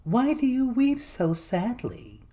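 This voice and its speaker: A sly little storybook mannikin, acted out by a man, bargains in a wheedling way. The words are stretched out very slowly, with a swooping, lively pitch. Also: heavily acted. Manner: slow, drawn-out, wheedling. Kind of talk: storybook character voice.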